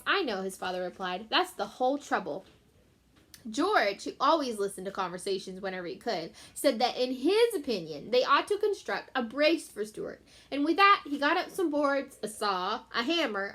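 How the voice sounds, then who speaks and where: A woman teacher reading a children's book aloud in an expressive way, speaking directly to young listeners. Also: a little high. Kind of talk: children's book read-aloud with short explanatory asides.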